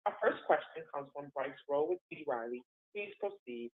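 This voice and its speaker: A woman's voice: sits low, lively intonation, moderate pace. Woman conference operator on a phone line. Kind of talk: scripted call instructions.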